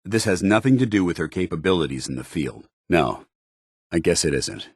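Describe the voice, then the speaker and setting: Stern earnest soldier in a video game, super low husky voice, formal and measured. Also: male voice, animated, no echo, studio quality, heavily acted. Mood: earnest, guarded. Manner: formal, measured military diction. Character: stern, dutiful, earnest armored military paladin, male soldier. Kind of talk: video game voice acting, companion dialogue.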